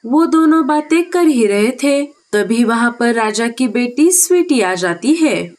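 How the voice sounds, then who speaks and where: Woman, children's story narrator, fairly high pitch, slow deliberate pace, scripted delivery. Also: lively intonation, darkish tone.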